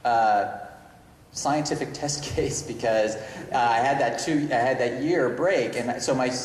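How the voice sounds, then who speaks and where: Middle-aged man rambling in an echoey hall. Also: mid-range voice. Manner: halting, rambling, self-correcting. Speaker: screenwriter guest at convention Q&A. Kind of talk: unscripted panel talk. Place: large indoor convention panel room. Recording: echoey reverberant hall, noticeable noise.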